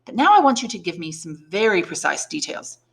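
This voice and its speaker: A woman reading the part of a learned old male prisoner, a priest, shrewd and kindly, in deliberate, probing phrases. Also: on the low side, big pitch swings, creaky, fairly bright tone, exaggerated voice. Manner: deliberate, probing questions and measured explanations.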